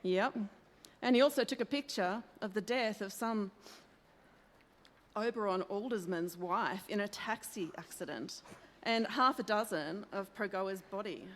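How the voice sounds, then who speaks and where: A woman's voice: mid-range voice, measured pace, lightly acted. A woman playing an eager, affectionate newspaper colleague in an old-time style radio play. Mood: pleased, informative. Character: eager, affectionate young female newspaper reporter's sidekick. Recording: echoey hall, long reverb.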